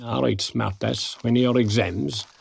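A man's voice: medium pitch, steady tone, unhurried, creaky, husky, exaggerated voice. An older man with an Irish accent playing a good-humoured retiree in an audio drama, talking in a wry, colloquial way. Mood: warm, reflective. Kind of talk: naturalistic audio drama dialogue.

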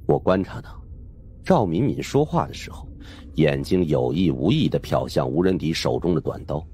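Very deep-voiced male suspense storyteller. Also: sing-song, moderate pace, mellow, a little hiss or hum. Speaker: audiobook narrator. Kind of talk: dramatic scripted first-person supernatural suspense narration.

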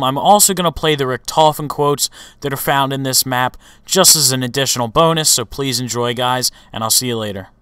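A man's voice: medium pitch, a bit bright, clean tone, no room sound. A young man hosting a gaming video with casual, conversational commentary.

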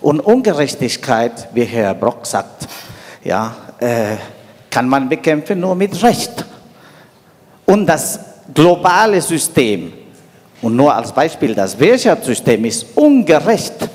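A middle-aged man from Peru with a Peruvian Spanish accent, making a deliberate, halting comment from the audience. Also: mid-range voice, big pitch swings, a little mellow. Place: large wood-panelled conference hall. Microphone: handheld microphone. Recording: long hall reverb.

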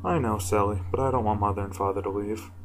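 A man's voice: on the low side, steady tone, fast, close to an everyday voice. A man voicing a sad, reluctant little-sister pony princess, plaintive and unsure, in a story reading.

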